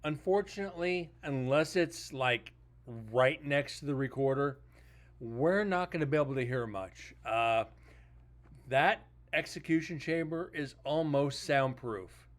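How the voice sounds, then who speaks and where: A man's voice: fairly high pitch, unhurried. A man reviewing paranormal evidence on a livestream, chatting casually in a blunt, joking way.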